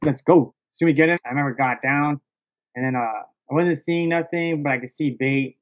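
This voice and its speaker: Male podcast guest, higher-pitched, excitable casual storyteller, slangy and sweary, slightly lo-fi recording. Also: moderate pace. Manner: slangy, excitable, profanity-laced, peppered with "bro" and "dog".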